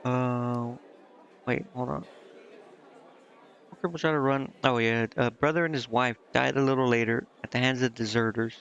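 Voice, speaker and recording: Man streaming casually, gravelly fry in his voice. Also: medium pitch, fairly even intonation, moderate pace, long pauses, a bit bright, a faint crowd. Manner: informal, reacting and thinking aloud. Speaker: live game streamer. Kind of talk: casual game commentary, reading dialogue aloud. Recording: dull, band-limited sound with music. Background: game music.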